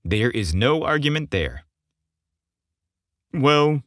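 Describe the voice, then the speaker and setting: A man's voice: medium pitch, sing-song, takes it slowly, fairly bright tone, no room sound, studio quality. A man voicing an easygoing, teasing young male gym trainer in story dialogue, with a breezy manner.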